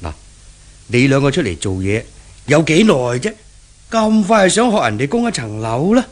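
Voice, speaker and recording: A man's voice: a little high, sing-song, normal speed. An actor playing a cautious, nagging older shopkeeper father who speaks hesitantly in a 1970s Cantonese radio drama, with a Hong Kong accent. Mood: fretful, nagging.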